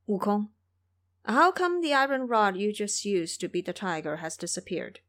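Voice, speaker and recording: A woman playing a gentle, humble monk master. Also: mid-range voice, natural voice. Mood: curious. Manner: polite, measured, humble. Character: gentle, pious male monk master, courteous elder. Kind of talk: audio drama character acting.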